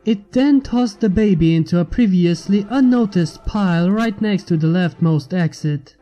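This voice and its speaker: A man narrates a fan fiction chapter in a scripted reading style. His voice sits high for a man and is very mellow and dark-toned, with music playing underneath. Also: normal speed. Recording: music bed behind the narration.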